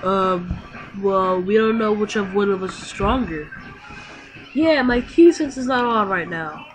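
A woman improvising roleplay dialogue and narration for a Minecraft video in a halting way. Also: medium pitch, over music, low-quality recording.

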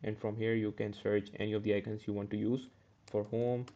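A man's voice: fairly low pitch, level tone, brisk, mellow, muffled recording. A man teaching a step-by-step coding tutorial over a screen recording, correcting himself as he goes. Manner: step-by-step, self-correcting with "uh" and "okay". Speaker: programming tutor.

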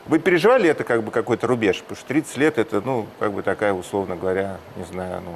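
A man's voice: on the low side, very fast, gravelly, a little hiss or hum. A man hosting an interview, asking questions in a chatty way and groping for words with fillers. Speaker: interviewer, host.

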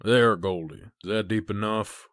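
A man as a low, grumbling papa bear. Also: measured pace, fairly bright tone, dry recording, clean studio sound. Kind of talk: comic dub character acting.

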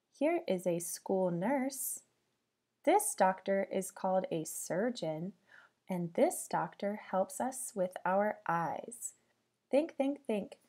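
A woman reads aloud like a teacher with young children, in a soft, mellow voice. Her pitch swoops in a sing-song way, and she takes her time, stopping to ask questions. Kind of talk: interactive storybook read-aloud for young children.

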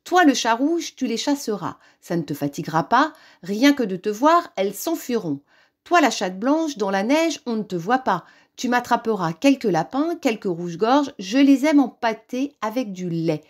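A woman's voice: a little high, very animated, normal speed. A woman voicing a bossy, gleeful old witch in a children's story, exclaiming and commanding with false sweetness. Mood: sweetly scheming.

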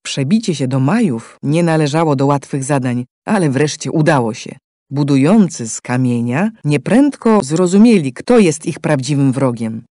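Solemn, mellow male game narrator. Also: on the high side, expressive, normal speed, dry recording. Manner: solemn, declamatory storytelling. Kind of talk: scripted dramatic narration.

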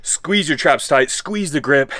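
A man's voice: on the high side, lively intonation, a little slow, sharp tone, no room sound. A man in his thirties giving a laid-back, enthusiastic voice-over as a fitness coach explaining exercises. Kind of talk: workout voice-over instruction. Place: outdoor home gym by a garage.

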